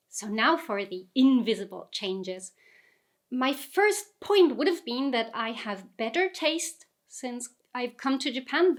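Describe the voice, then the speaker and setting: Chatty woman, slightly high voice. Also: fairly bright tone. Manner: chatty, self-deprecating, laughs often. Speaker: vlogger. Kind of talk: casual reflective vlog.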